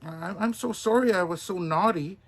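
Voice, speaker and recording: A man voicing an eager, naughty young wooden puppet boy in an excitable, exclaiming storybook manner. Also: sits high, normal speed, voice pushed up. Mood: earnest.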